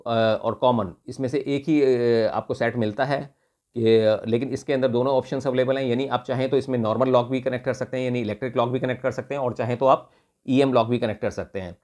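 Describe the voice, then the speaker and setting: A man's voice: mid-range voice, fairly even intonation, fairly quick, a little mellow. A man with an Indian accent giving an unscripted product demonstration, explaining step by step and often rephrasing in mixed Hindi and English. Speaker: product reviewer.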